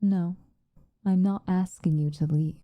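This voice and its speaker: A woman playing a stern but protective witch in an ASMR roleplay, speaking softly and formally, often in a breathy whisper. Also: on the low side, fairly even intonation, no room sound, narrow, tinny audio, lightly acted. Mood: gently reassuring. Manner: measured, formal, gently commanding.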